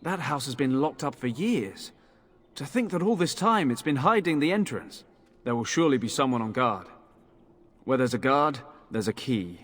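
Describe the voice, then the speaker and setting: Mid-pitched, businesslike town guard. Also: male voice, animated, normal speed, a bit bright. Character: sober male town guard officer seeking help. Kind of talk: video game voice acting.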